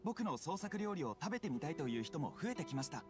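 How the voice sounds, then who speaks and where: Earnest young vendor, lively pitch. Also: male voice, fast talker, music in the background. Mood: earnest, grateful. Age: young adult. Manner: polite, earnest. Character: earnest, humble young male street food vendor. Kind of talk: Japanese anime game voice acting.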